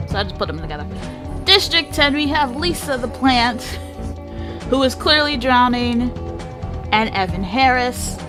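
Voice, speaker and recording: A woman's voice: fairly high pitch, lively intonation, a little slow, pauses often. A woman hosting a gaming series with casual, sweary commentary, switching between mock-dramatic announcing and offhand asides. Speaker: host of a gaming channel series. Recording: music behind the voice. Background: music.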